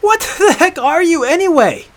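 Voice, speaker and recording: Man playing a defiant, animated young hunter. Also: mid-range voice, fairly quick. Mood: winded, outraged. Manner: blunt, incredulous, challenging questioning. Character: defiant, sarcastic young male hunter, indignant and stubborn. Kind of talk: dramatic fantasy audiobook character voice.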